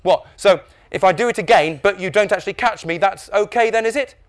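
A man's voice: high voice, fairly quick, pitched above the performer's own voice, close to an everyday voice. A man voicing a sullen, cheeky teenage boy in a children's audiobook, muttering and arguing back.

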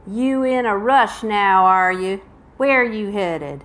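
A woman's voice: mid-range voice, takes it slowly, natural voice. A woman voicing a menacing, mocking man with a Southern American accent and a taunting drawl, in a live book reading. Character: tall menacing mocking male slave hunter on horseback. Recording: noisy live event room recording.

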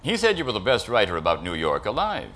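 A man voicing a brash, lively middle-aged male editor with an animated delivery. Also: on the low side, quick pace, pitched below the performer's own voice, heavily acted. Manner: swooping, animated.

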